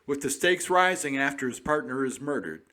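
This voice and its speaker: Dramatic male announcer, very animated pitch. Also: normal speed. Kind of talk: scripted promotional narration.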